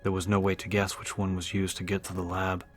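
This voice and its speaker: A man's voice: deep voice, completely flat delivery, fairly quick, soft background music. A man giving a dramatic scripted narration as an audiobook narrator.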